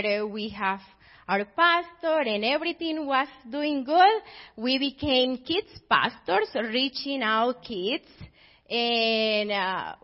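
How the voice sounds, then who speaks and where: A Colombian woman in her thirties with a Colombian Spanish accent, speaking as a missionary at church, halting with fillers and laughing. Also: a little high, lo-fi sound. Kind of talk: church testimony.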